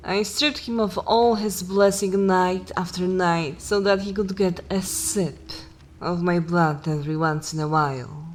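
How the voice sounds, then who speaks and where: A woman voicing a haughty, cruel, centuries-old vampire mistress in a dark fantasy ASMR roleplay, speaking in a formal, commanding and disdainful manner. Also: on the low side. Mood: contemptuous, commanding. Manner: imperious, slow, disdainful, formal wording.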